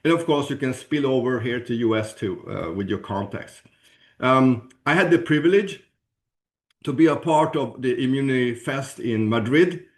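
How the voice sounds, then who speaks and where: Male webinar presenter, Swedish accent, mid-range voice, informal sales talk delivered haltingly, searching for words. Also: mid-range voice. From Sweden. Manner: halting, searching for words, with frequent throat clearing. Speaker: presenter at a business opportunity webinar.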